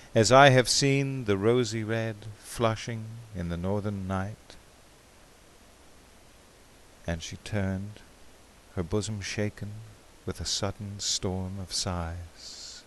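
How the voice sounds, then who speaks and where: A man giving a dramatic poetry recitation in a measured, theatrical, expressive way, with drawn-out phrases. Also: lowish voice, low-quality recording. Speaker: poetry reader.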